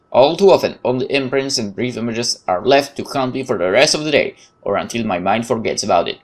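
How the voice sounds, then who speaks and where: A man with a Polish accent reading visual novel text aloud as a let's play host, dramatically, with occasional stumbles and casual asides. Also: mid-range voice. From Poland.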